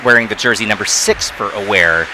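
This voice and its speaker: A man calling live hockey play-by-play as the lead commentator, with quick bursts of excitement on big plays. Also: on the low side, background noise. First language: not Thai.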